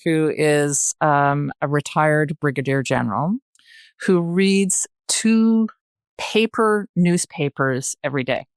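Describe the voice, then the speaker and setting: An older woman as a podcast guest, telling stories and giving opinions conversationally, with deliberate, emphatic phrasing. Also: sits low, smooth, dry recording. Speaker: podcast guest, retired journalist.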